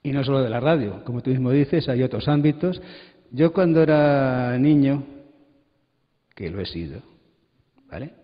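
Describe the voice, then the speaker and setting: A man's voice: mid-range voice, lively intonation, normal speed, long pauses. Wry Spanish storyteller, echoing hall, man. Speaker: radio drama panelist. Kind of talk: storytelling talk on a panel. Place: large hall at a congress. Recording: muffled, long hall reverb.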